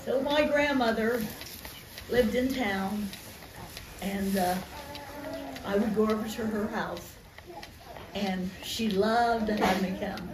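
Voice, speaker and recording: A woman's voice: medium pitch, slow, long pauses. A woman in her nineties sharing heartfelt memories as a church member giving testimony at a barn gathering. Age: 90s. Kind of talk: heartfelt reminiscing. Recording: echoey, noisy, far from mic.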